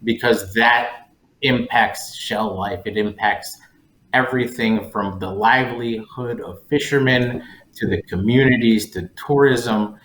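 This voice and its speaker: A man's voice: fairly low pitch, unhurried, a bit bright, a little hiss or hum. A man speaking conversationally as a panelist. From Colombia-born, raised in West Virginia, United States. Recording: roomy, echoey sound.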